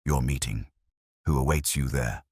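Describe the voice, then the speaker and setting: A man voicing a cool, precise, commanding Victorian detective with deliberate, theatrical pronouncements in an audiobook. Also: a bass voice, darkish tone, slightly rough, no room sound, clean studio sound. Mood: grave, deliberate.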